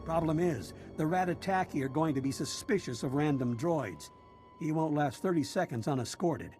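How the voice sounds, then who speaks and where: An actor playing a frustrated, battle-worn prison officer in a video game, brisk and practical. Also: male voice, on the high side, animated, darkish tone, faint music behind, lightly acted. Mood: businesslike. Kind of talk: video game character acting.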